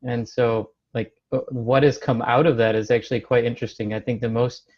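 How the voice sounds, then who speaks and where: A man's voice: mid-range voice, flat delivery, a little fast, darkish tone. Man attending a seminar from the United States: friendly and eager, dull lo-fi call audio. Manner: friendly, enthusiastic, laughs easily.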